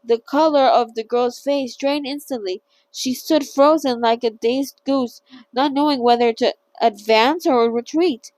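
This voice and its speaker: A woman's voice: high voice, measured pace, darkish tone, no room sound. A woman hosting a casual read-along of a novel, chatty and stumbling now and then over hard words. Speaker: channel host reading a novel aloud.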